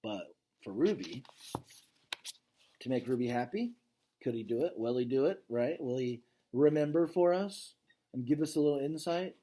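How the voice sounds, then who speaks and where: A man doing a children's read-aloud, expressive, with casual asides and questions that check in with his listeners. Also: medium pitch, normal speed.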